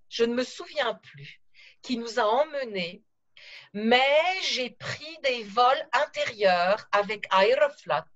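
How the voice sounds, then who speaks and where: Slow, careful woman on a video call. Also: fairly high pitch, dull audio. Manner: slow, careful storytelling with hesitations. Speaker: interview guest. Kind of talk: conversational podcast interview. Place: living room with sofa.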